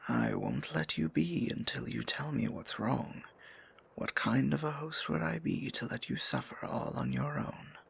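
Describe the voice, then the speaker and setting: A man playing a tender, possessive, courtly dark sorcerer in an intimate fantasy ASMR roleplay, speaking formally in a breathy, gravelly near-whisper. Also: mid-range voice, heavily acted. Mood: tender concern. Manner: formal, archaic endearments, slow deliberate phrasing.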